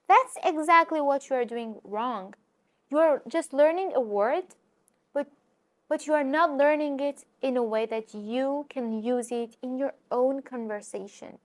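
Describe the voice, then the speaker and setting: Lively woman giving language tips. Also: high-pitched. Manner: lively, direct, talks to viewers, frequent fillers. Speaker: language teacher and YouTuber. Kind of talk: casual vlog-style lesson.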